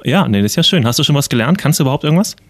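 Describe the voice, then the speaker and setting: Fast-talking teasing young man. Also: mid-range voice, expressive, fairly bright tone, dry recording. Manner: teasing, mock-innocent, quick to laugh. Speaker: radio co-host. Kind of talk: casual studio banter.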